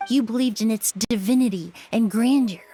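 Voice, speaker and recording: An actress playing a calm young perfumer who explains her reasoning in a measured way. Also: fairly high pitch, a little hiss or hum, natural voice. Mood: explaining. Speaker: perfumer, apprentice.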